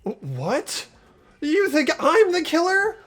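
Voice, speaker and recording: A woman's voice: extremely high pitch, very animated, slow pace, faint music behind, full character voice. A woman playing an earnest, flustered teenage student in a game, stammering and pleading urgently. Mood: shocked. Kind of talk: hobby game voice acting.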